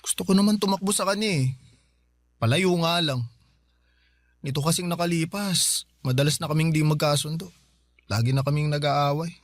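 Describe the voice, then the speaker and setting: A man's voice: fairly high pitch, normal speed, long pauses, no room sound, close to an everyday voice. An actor playing a broke young man who pleads with his older sister in a radio drama, defensive and whiny. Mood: explaining. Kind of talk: Filipino radio drama acting.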